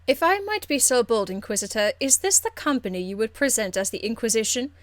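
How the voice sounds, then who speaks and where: A woman voicing a haughty, aristocratic lady mage from a fantasy game, icily polite, measured and condescending. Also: fairly high pitch, lively intonation, dry recording, natural voice. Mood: weary disdain. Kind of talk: video game character acting.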